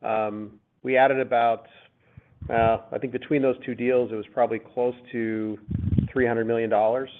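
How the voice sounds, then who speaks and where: A man speaking as a company executive, giving unscripted, halting business answers with repeated words on a conference call. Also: mid-range voice, little pitch movement, a little hiss or hum. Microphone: conference call line. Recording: phone-like narrow-band conference call audio.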